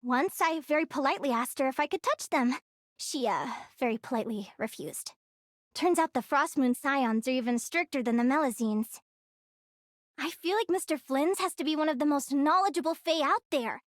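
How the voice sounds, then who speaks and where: A very high, girlish voice for a young changeling adventurer in a video game. She is cheerful, curious and chatty, with expressive phrasing. The recording is dry and close. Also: moderate pace, no room sound. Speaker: video game character voice actor.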